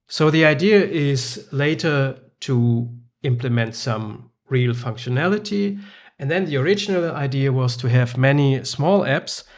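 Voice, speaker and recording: A man with a gravelly, creaky voice, German his first language, demos his software in a loose, thinking-aloud manner. He pauses often with fillers as he clicks through screens. His pitch sits in the middle for a man. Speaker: software developer. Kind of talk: screen-recorded app demo.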